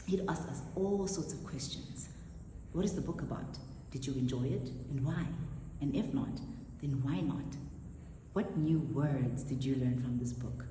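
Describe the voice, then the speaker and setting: Very deep-voiced woman storyteller in echoey room. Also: measured pace, mellow. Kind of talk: expressive personal storytelling. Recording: echoey reverberant room, faint noise.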